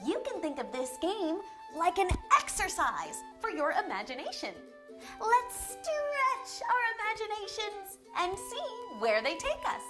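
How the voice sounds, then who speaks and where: A high, lilting woman's voice presents for young children. She speaks slowly in simple, encouraging phrases, with music playing behind her. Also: very animated. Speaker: children's show host. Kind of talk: instructional kids' show presenting.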